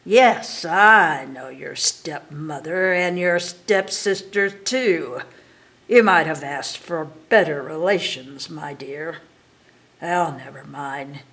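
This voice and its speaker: A woman's voice: low voice, takes it slowly, fairly bright tone, heavily acted. A woman reading aloud, voicing a sly, menacing old witch who barks threats and orders. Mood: mocking. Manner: commanding, threatening.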